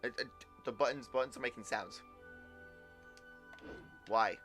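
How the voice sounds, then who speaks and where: Halting male game streamer chatting over faint music. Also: mid-range voice, fairly bright tone. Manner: halting, repeats words, mild exclamations. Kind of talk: casual livestream chat and commentary.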